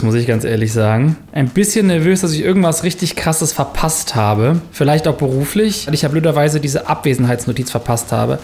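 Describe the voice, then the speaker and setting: A man's voice: on the high side, darkish tone. A young German man vlogging a week offline, narrating candidly and reflectively in voice-over and to camera. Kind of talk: self-experiment vlog with voice-over narration.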